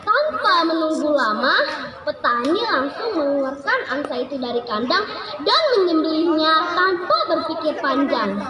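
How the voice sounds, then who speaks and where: A woman with a very high, lively voice narrates a storybook tale aloud. Her pitch moves up and down a good deal as she reads. She is recorded in an echoey room with noise around her. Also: moderate pace. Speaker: storyteller reading a fable aloud. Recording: echoey, noisy room with background crowd.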